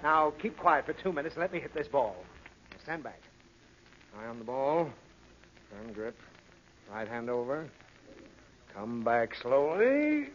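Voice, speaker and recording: A man's voice: mid-range voice, very animated, a little slow, pauses often, a bit bright. A man playing a fussy, flustered, exasperated father in a 1930s radio comedy. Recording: dull, noisy 1930s radio recording.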